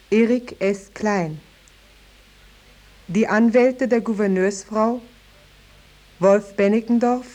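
A woman announcer reading a scripted 1950s German radio introduction in a formal, deliberate way. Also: medium pitch, dark tone.

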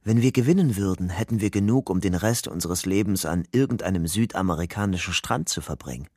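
An actor playing a weary young ex-convict on the run in a German crime radio drama, haunted but stubbornly hopeful, in a subdued, confessional way. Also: male voice, low voice, a little fast, a little mellow, dry recording, clean studio sound. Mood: subdued, bleak. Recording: music bed under the voice.

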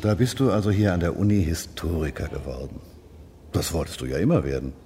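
An actor playing a matter-of-fact homicide detective of about forty, talking conversationally in a radio drama. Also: male voice, deep voice, expressive, fairly quick, warm tone. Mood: affable.

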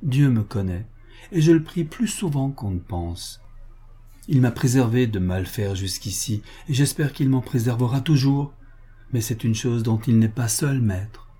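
A man's voice: medium pitch, a little slow, warm tone, husky. A man voicing a proud, passionate society lady in love, with emphatic, pleading delivery, in a dramatic reading of a novel. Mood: indignant, earnest.

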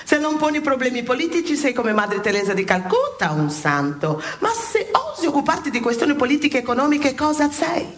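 An actress playing an impassioned heavenly examiner, lecturing souls with emphatic, theatrical delivery and dramatic pauses in a radio play. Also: female voice, high voice, very animated. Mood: indignant, fervent.

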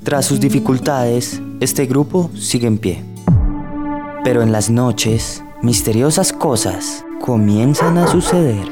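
A man tells a scripted radio story with a sense of suspense. His voice sits at a middle pitch, with guitar music clearly underneath. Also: takes it slowly. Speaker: story narrator.

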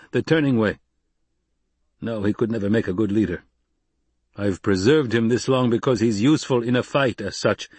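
Steady desert leader, low soothing delivery, husky dry voice, read by a man. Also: no echo, close to an everyday voice. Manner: low, placating. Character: calm male desert leader, soothing, rumbling.

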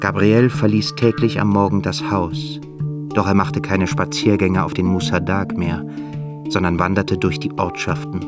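A male narrator reads scripted literary prose for a radio drama. His voice is low, and loud plucked-string music plays along behind it. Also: little pitch movement, moderate pace.

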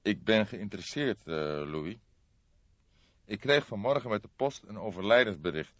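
A man voicing a calm, unruffled veteran police detective in an audiobook, asking measured questions. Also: lowish voice, husky, no room sound. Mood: serious. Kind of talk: audiobook character acting. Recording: slightly dull, dry studio recording.

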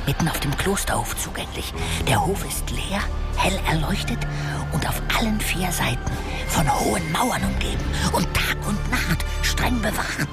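A serious leader in a fantasy radio play. He gives instructions earnestly, his pitch moving a lot, with strong music behind him. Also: sing-song, moderate pace. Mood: grave, instructive. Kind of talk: German radio drama dialogue.

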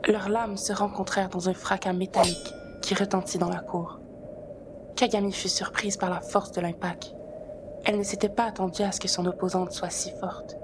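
A woman narrates a written story as its author, in a medium-pitched voice. The recording has clear noise behind her words. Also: little pitch movement, moderate pace.

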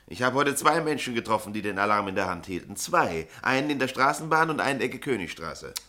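Gruff, bright-voiced sardonic newspaper boss. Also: male voice, medium pitch, expressive, a little fast. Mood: sarcastic scolding. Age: middle-aged. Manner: brusque, sardonic, bossy. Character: gruff, sarcastic veteran newspaper editor, irritable boss. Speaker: deputy newspaper editor. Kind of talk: German radio play acting.

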